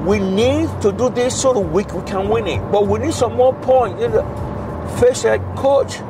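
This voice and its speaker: A man's voice: high-pitched, normal speed, music in the background. A middle-aged man with a Nigerian accent telling casual stories, repeating phrases for emphasis and acting out dialogue. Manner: repetitive, emphatic, acts out dialogue. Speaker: father telling stories about his daughter. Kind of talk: animated casual storytelling. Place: inside a moving car on a highway. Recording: loud car road noise.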